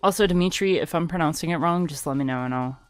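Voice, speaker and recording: A woman's voice: low-pitched, quick pace, dry recording. A young woman livestreaming a game, chatting casually with viewers; she is congested with a sore throat, laughs often and swears freely. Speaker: streamer. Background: faint game music.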